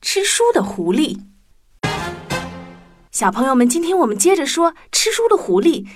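A woman narrating a story for children in an expressive, animated storyteller's style. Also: high-pitched, normal speed. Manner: expressive, addresses young listeners. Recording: music bed behind the voice.

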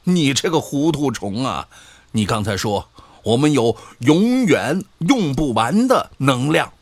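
A man's voice: mid-range voice, very animated, a little slow, no room sound. A man playing a pedantic, scornful scientist arguing with a drinking buddy in a radio drama, with an emphatic, lecturing manner. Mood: scornful, exasperated. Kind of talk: Chinese radio drama acting.

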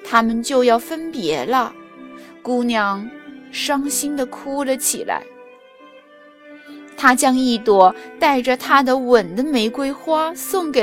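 A woman with a standard Mandarin accent narrating a fairy tale for children in an expressive, carefully enunciated manner, as a storyteller and teacher would. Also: sits high. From China. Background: music under the narration.

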